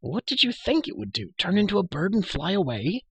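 Storybook role: bossy young highborn girl, performed by a man, lifted pitch, chatty, indignant, matter-of-fact. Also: big pitch swings, a little fast, creaky, fairly bright tone, slightly rough, dry recording, full character voice. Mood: exasperated. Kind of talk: storybook character voice.

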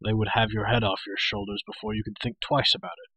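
A man's voice: on the low side, level tone, quick pace, bright, forceful tone, slightly rough, no room sound, poor audio. A man voicing a stern, authoritative warrior prince in a storybook, speaking firmly and with restraint. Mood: firm, impatient. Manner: firm, severe, restrained. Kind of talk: storybook character voice.

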